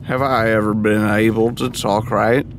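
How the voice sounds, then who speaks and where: An actor playing a drunk, superstitious man who is easily spooked and defensive, talking in a halting, tipsy way. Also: fairly low pitch, little pitch movement, close to an everyday voice. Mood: tipsy, stubborn. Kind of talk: audio drama dialogue. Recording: car noise behind the voice.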